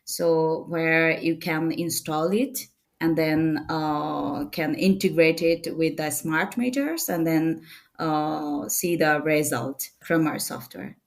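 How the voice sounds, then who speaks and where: A young woman from Bangladesh talks through her answers with a lowish voice, pausing often on "uh" and "so". She is a startup co-founder, explaining her work in plain, unhurried terms. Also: steady tone, gravelly. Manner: hesitant, many fillers, non-native phrasing. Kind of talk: casual interview answers.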